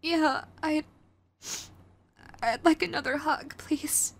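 A woman's voice: high-pitched, fairly even intonation, takes it slowly, creaky, exaggerated voice. A woman playing a sweet, clingy, remorseful yandere girlfriend in an audio roleplay, hesitant and pleading, speaking intimately to the listener. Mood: subdued, grateful. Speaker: voice actor.

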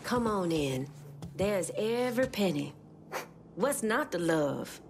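An actress playing a composed, knowing Vodou shopkeeper who leads an underground resistance in a video game, speaking in a measured, conspiratorial way. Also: female voice, medium pitch, very animated, background noise. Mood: sly, measured. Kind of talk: video game character dialogue.

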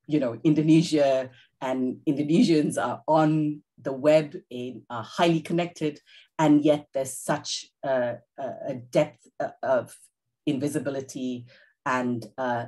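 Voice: mid-range voice, fairly even intonation, slow, darkish tone. Thoughtful panel moderator on a video call.

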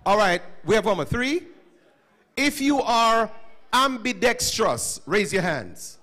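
A man's voice: high voice, slow. A middle-aged man teaching students at a school chapel, questioning and calling on them, on a handheld microphone. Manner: animated, questioning, calls on listeners. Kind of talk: interactive talk to students.

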